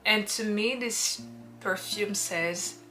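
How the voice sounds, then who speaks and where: Unhurried woman over background music. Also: mid-range voice, bright, forceful tone. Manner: unhurried, drawn-out phrasing with playful acted quotes. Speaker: perfume reviewer. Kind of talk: casual perfume recommendation vlog. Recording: music playing underneath.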